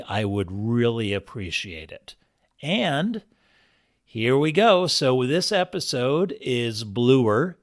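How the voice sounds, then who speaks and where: A man's voice: mid-range voice, dry recording, clean studio sound. A man speaking as a podcast host, introducing an episode in a conversational style.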